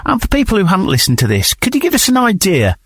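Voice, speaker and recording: A man hosting a radio interview. His voice sits a little high and moves around in a very animated way. The sound is dry, with no room echo. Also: fast, slightly rough. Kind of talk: radio interview, introducing and questioning guests.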